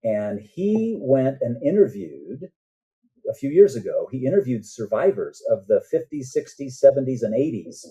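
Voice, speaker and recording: A man's voice: medium pitch, very animated, moderate pace, mellow, slightly rough, poor audio. A man speaking as an author guest on a podcast, explaining things earnestly in a conversational way and often checking in with the listener.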